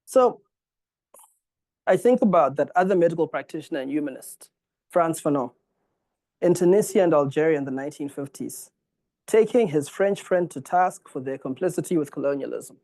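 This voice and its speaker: A man from Zimbabwe in his forties delivers a formal public lecture. His voice sits a little high for a man, and he reads in a measured, earnest way, lifting key words for emphasis. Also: lively intonation, a little mellow, low-quality recording.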